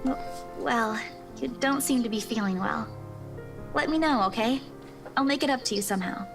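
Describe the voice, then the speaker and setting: A caring young woman in a visual novel. Her voice sits fairly high, and she sounds apologetic and considerate. Music plays clearly behind her. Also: normal speed. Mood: concerned. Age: young adult.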